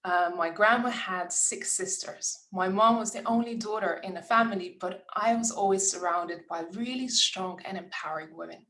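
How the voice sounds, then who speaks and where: An earnest woman, welcoming an audience as host. She speaks in an encouraging tone at an average pitch, sometimes restarting her sentences as she tells a personal story. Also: normal speed, few pauses, sharp tone, poor audio. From Lithuania. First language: Lithuanian. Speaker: summit host.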